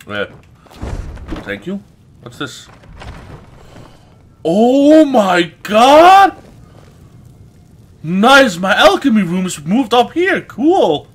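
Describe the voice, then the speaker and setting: A man hosts a casual let's play in a fairly high voice that swings up and down a lot. He takes his time, stretching out words, exclaiming and swearing as he goes. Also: huge pitch swings, extremely slow, long pauses. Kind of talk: casual gameplay commentary.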